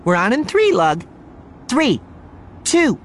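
Audiobook role: brisk, practiced woman broadcaster, played by a male narrator, pitched well up, breezy and in command. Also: wildly swooping pitch, a little hiss or hum. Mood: bright, professional. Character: polished, brisk, big-smiled human woman news broadcaster. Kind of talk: audiobook character voice.

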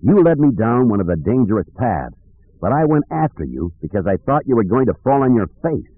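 An urgent, emphatic starship pilot, as a male narrator plays him. He pleads with rough loyalty and swinging intonation in an audiobook, on a narrow-band recording. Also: mid-range voice, very animated, a little fast, no room sound, voice pushed up. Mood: pleading.

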